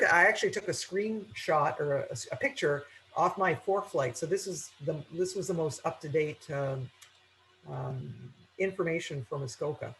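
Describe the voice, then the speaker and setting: Halting woman, faint noise. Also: fairly low pitch, low-quality recording. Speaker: aviation instructor. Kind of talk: informal webinar lecture.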